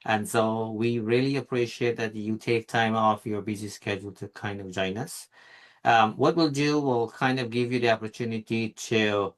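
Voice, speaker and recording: A man from Guyana hosting an interview, relaxed and encouraging, with many "you know" and "kind of" fillers. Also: on the low side, moderate pace. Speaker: host. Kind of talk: casual interview talk.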